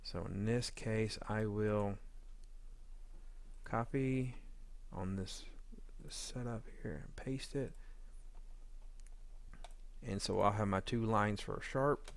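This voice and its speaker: A man giving an informal editing tutorial, talking haltingly as he thinks aloud while working. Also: fairly low pitch. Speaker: audio drama editor giving a tutorial. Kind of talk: informal software walkthrough.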